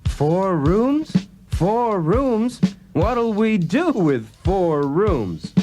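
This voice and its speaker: A performer playing a meek, hesitant fisherman in a musical children's story, puzzled and deliberate. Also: medium pitch, sing-song, over music. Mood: bewildered.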